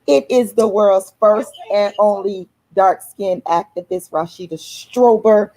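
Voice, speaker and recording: A woman's voice: medium pitch, unhurried, warm tone, rough recording. A woman, casually announcing an event to viewers, repeating herself and addressing them as y'all. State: hoarse. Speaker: activist host of her own channel.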